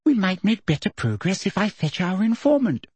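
A man's voice: fairly high pitch, very animated, moderate pace, darkish tone, husky, no echo, voice pushed up, exaggerated voice. A man voicing a falsely sweet middle-aged female official in an audiobook, silky and condescending.

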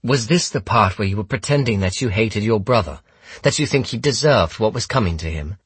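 A man's voice: on the low side, lively intonation, a little fast, husky, no echo, pitched above the performer's own voice. A man voicing a cool, defiant young woman in an audiobook, with a level, sardonic, taunting delivery. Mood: steely sarcasm. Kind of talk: audiobook character dialogue.

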